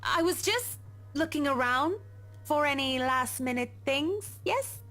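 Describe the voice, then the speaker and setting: Nervous young career woman, high voice, hesitant and polite, visual novel game. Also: expressive, measured pace, sharp tone, faint music behind, full character voice. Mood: nervous, evasive. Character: anxious, evasive young professional woman, pleading politely.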